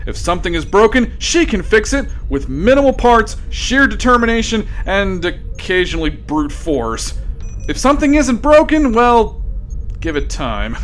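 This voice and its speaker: A man's voice: high-pitched, sing-song, normal speed, fairly bright tone, slight background noise. A man narrating a scripted sci-fi comedy in a theatrical, wry manner.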